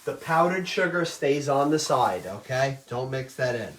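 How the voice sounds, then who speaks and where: A middle-aged man teaching a live online baking class, talking loudly and chattily and checking in with "okay?" all the time. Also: medium pitch, expressive, normal speed. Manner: loud, chatty, says "okay?" often. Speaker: cooking instructor. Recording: roomy kitchen sound, mic at a distance.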